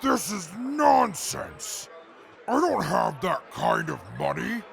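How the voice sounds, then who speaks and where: An actor playing an arrogant, scheming would-be ruler in an audio drama, pompous and indignant, with a gravelly voice and disdain for weak humans. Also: male voice, high-pitched, sing-song, takes it slowly, fairly bright tone, full character voice. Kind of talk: post-apocalyptic audio drama acting.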